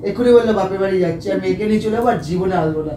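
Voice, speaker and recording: Young prankster husband, quick and repetitive protesting delivery, casual, with clear noise behind him. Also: male voice, high-pitched. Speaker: husband and prankster hosting a family video. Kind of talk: casual argument and prank chat. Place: indoor room at home. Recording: echoey room, clear background noise.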